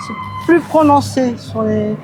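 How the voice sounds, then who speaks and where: A woman's voice: high voice, measured pace, background noise. A woman from the south of France with a Midi accent, chatting casually as an interviewed passer-by. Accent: southern French (Midi). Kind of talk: casual chat.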